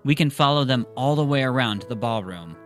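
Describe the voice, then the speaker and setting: A man's voice: medium pitch, animated, brisk, faint music behind, natural voice. A man reading the part of a stern, devout young soldier in an earnest, solemn manner. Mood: curt, probing.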